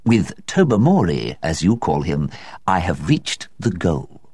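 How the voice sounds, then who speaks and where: Man reading as a pompous gentleman scientist, patient, lecturing, lively pitch, low voice, dry. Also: unhurried, dry recording, exaggerated voice. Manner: patient, lecturing, self-satisfied. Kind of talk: storybook reading.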